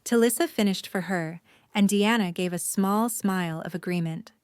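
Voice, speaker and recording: Woman narrating a story, middle pitch, very dry recording, scripted read. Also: normal speed, clean tone, dry recording.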